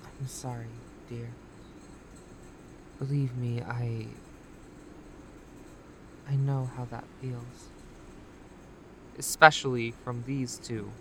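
An actress playing a calmly manipulative female recruiter in an audio drama, with a measured, condescending delivery. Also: a bass voice, noisy background, exaggerated voice. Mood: coaxing.

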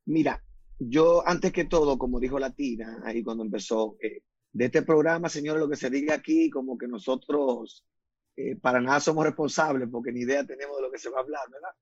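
A man from the Republic, speaking as a guest on a radio talk show, earnestly explaining his ideas and slowing down to stress points. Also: medium pitch, breathy edge, no room sound, dull audio. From Dominican Republic.

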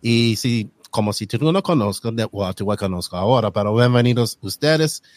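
A man whose first language is English, hosting a casual podcast in halting Spanish and slipping into English now and then. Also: on the low side, expressive, dry recording. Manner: halting non-native Spanish with slips into English. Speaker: podcast host.

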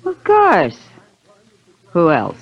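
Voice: medium pitch, wildly swooping pitch, very slow, highs cut off, exaggerated voice. A performer playing a brassy, defensive wife in a 1950s radio mystery, talking in slangy, indignant snaps. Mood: cool, flippant. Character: brassy, defensive wife of a music school owner. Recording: old 1950s radio recording, faint music.

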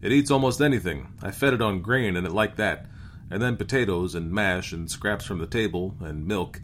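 A man's voice: deep voice, moderate pace, fairly bright tone, a little hiss or hum. Man playing a meek, deferential spaceship crewman. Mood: eager, nervous. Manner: deferential, apologetic. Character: meek, nervous young male spaceship crewman.

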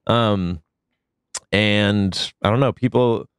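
Sick male podcast host, lowish smooth voice, dry close mic, jokey. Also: slow pace, no echo. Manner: loose, jokey, swooping emphasis. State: sick, deep voice. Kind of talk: casual comedic chat.

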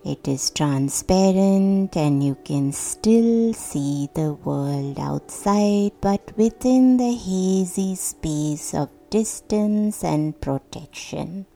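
Very slow, soft dark-voiced woman guiding meditation. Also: on the low side, animated, creaky. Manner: soothing, hushed, deliberate with long pauses. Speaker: meditation guide. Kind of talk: guided sleep meditation, scripted narration. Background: soft meditation music.

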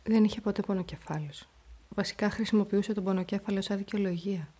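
Woman acting a blunt teen daughter in a radio drama, husky and kinda dark toned. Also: medium pitch, lightly acted. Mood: flat, blunt. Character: blunt teenage daughter of a dead man. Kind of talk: radio play dialogue.

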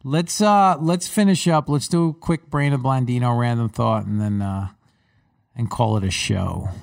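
A man hosting a casual sports podcast, with a faint Long Island accent and a relaxed way of talking that repeats words. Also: mid-range voice, normal speed, gravelly, no room sound, studio quality. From Long Island, New York. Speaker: podcast host. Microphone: studio mic.

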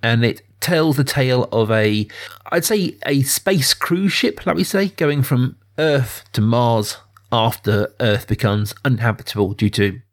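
A man's voice: mid-range voice, expressive, unhurried. Man thoughtfully talking films as host. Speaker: film podcast host. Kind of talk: conversational film discussion.

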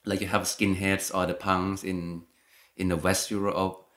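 A young man speaks in a very low voice, stopping and restarting often with lots of fillers. His talk is loose and conversational, circling around his thoughts before landing on them. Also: little pitch movement, measured pace, a bit bright. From Thailand. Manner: hesitant, many fillers and restarts. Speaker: guest. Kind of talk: casual conversation.

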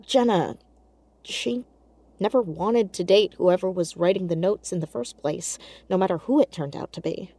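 An actress playing an earnest, caring teenage girl in an audio drama, speaking in a hesitant, halting, naturalistic way. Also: fairly low pitch, dark tone, no echo. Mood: puzzled, guarded. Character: earnest, awkward, caring teenage girl amateur sleuth, hiding in fear.

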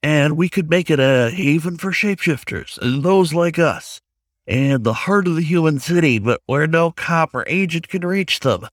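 A man's voice: on the high side, expressive, normal speed, no room sound, clean studio sound, pitched above the performer's own voice. A man voicing a wry talking crow in a story, with a blunt, insistent manner.

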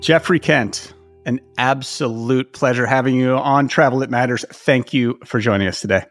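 A man's voice: medium pitch, normal speed, a bit bright, faint music behind. A man hosting a travel podcast, introducing his guest with enthusiasm and asking questions. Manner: enthusiastic, admiring, some restarts. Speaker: podcast host.

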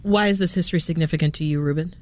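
A woman's voice: sits low, rapid-fire, darkish tone. A woman hosting a radio interview, asking questions in a conversational way.

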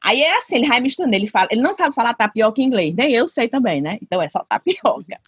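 A woman, co-hosting a podcast and telling family stories with lively impressions. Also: on the high side, rapid-fire, slightly rough, dry recording, highs cut off. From Brazil. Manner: lively anecdote-telling, acts out family members. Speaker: podcast co-host. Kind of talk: casual chat.

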